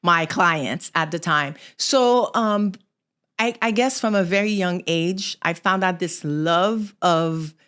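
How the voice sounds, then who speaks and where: A woman's voice: lowish voice, takes it slowly, a bit bright, clean tone. A middle-aged woman from Haiti, telling her own story conversationally as a podcast guest. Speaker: podcast guest, small business founder.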